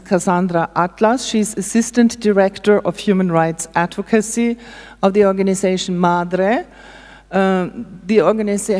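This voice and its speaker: A woman's voice: lowish voice, darkish tone. A woman moderating a conference panel, introducing speakers with frequent hesitations and filler sounds. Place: conference hall. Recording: reverberant conference hall.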